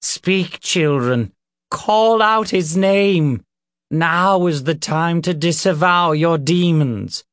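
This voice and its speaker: A man voicing a zealous, menacing reverend in an audiobook, calling out in a loud, commanding preacher's manner. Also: on the high side, takes it slowly, dry recording, clean studio sound, pitched above the performer's own voice. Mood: fervent, commanding. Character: zealous, sadistic male reverend calling out to demons.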